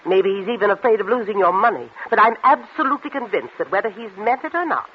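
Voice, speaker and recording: An actress playing a blunt, protective, worried aunt with an insistent, forthright manner in 1940s radio acting. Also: female voice, medium pitch, expressive, quick pace, close to an everyday voice. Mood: urgent, pleading. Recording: dull, lightly noisy vintage radio recording.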